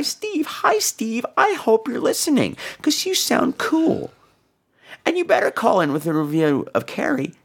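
A man with a creaky voice and extremely animated pitch hosts a casual, rambling podcast. He sounds unwell with a fever, yet he is theatrical and teasing in his delivery. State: feverish, ill with flu and laryngitis. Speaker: podcast host. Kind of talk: casual, rambling solo chat responding to callers.

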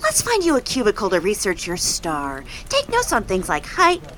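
A woman plays a chatty office coworker with a bright, sharp tone. Her voice leaps all over the place, and she keeps her explanations breezy and offhand. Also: mid-range voice, huge pitch swings, moderate pace. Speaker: office colleague. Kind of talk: audio drama acting.

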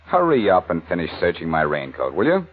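An actor playing a glib, cocky amateur sleuth who teases and wisecracks in a 1940s radio crime drama. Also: male voice, low-pitched, wildly swooping pitch, fairly quick, breathy edge, exaggerated voice. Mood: smug, needling. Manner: glib, teasing, smart-alecky. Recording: tinny, narrow-band old radio recording.

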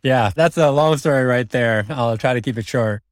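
A man's voice: mid-range voice, normal speed, no room sound, studio quality. A man in his thirties, a podcast guest, recounting his path to business school in an earnest way with frequent restarts. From born in China, raised in Prague, moved to Vancouver. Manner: earnest storytelling with many restarts. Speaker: guest, MBA student and finance executive. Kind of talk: podcast interview.

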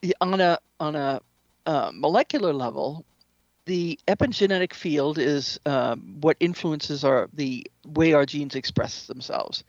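A woman speaking as an interview guest, explaining spiritual ideas in an earnest, measured way. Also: low-pitched, no echo, highs cut off. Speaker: interview guest, shamanic practitioner and author.

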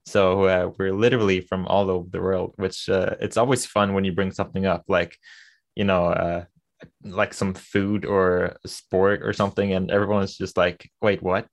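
A young man from Sweden with a light, Americanized Swedish accent, chatting casually as a podcast guest and pausing on many fillers. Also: low voice, level tone, gravelly. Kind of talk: casual chat.

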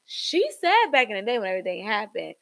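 A young woman co-hosting a casual gossip podcast, animated, with sudden high jumps in pitch and trailing ums. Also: fast, gravelly. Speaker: podcast co-host.

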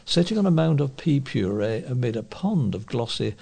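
A British man reading a newspaper review aloud as a volunteer newsreader, with deliberate, expressive phrasing. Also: mid-range voice, creaky, slightly rough.

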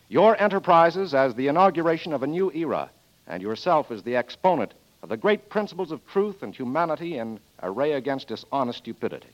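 Vintage American radio announcer, male, polished and formal, sweeping intonation, muffled broadcast sound. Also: fairly high pitch. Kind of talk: scripted 1940s sponsor narration and announcing.

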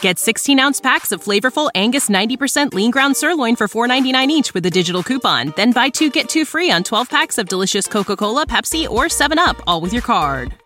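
A woman reading a scripted commercial as a lively sales pitch. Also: fast talker, few pauses. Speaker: ad voice-over. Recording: faint music bed behind.

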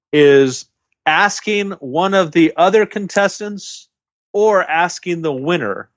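A man's voice: on the high side, no echo, highs cut off. A man co-hosting a casual podcast, giving opinionated, speculative takes on a dating show. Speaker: podcast co-host.

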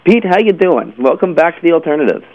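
A man's voice: a little high, very animated, fast talker, dry recording. A man hosting a casual interview show, phrasing things hesitantly as he goes. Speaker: show host. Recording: slightly muffled, lo-fi radio audio.

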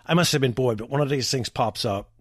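A man's voice: mid-range voice, fast, slightly rough, dry recording, studio quality. A man hosting a podcast, chatting casually in a rambling storytelling way with plenty of swearing. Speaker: podcast host. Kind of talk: casual chat.

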